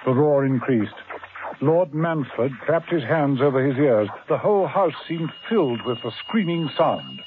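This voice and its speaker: A man narrating a spy radio drama with lively, dramatic storytelling emphasis. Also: mid-range voice, measured pace, a little hiss or hum. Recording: tinny old radio broadcast with music.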